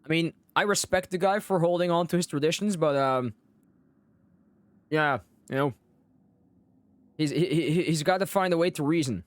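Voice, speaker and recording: Casual male game streamer, slightly high voice. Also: moderate pace, long pauses, dry recording. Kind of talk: casual live stream commentary and chat. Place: close dry mic, game music behind.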